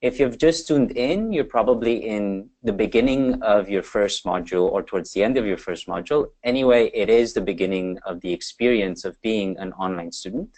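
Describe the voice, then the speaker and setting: A man's voice: on the low side, fairly quick, hardly any pauses, darkish tone, rough recording. Upbeat man moderating a webinar. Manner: upbeat, lots of fillers. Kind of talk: webinar panel hosting. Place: Amsterdam.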